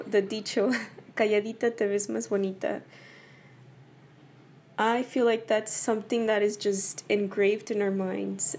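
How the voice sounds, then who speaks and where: A woman from El Paso, Texas, hoarse from a cold, talking casually and personally to her viewers, leaning on "you know" and "right?". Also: mid-range voice, a little mellow, a little hiss or hum. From El Paso, Texas, USA. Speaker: vlogger. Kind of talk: casual personal talk to camera.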